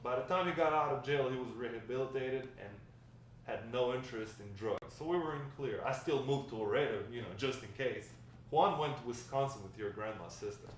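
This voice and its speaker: A man's voice: medium pitch, fairly quick, close to an everyday voice. Wry old grandfather recounting shady past, played by a man, table read, heard from afar in a roomy, faintly noisy space. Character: wry old grandfather spinning tales of his drug-dealing past. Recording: distant, very echoey room, faint noise.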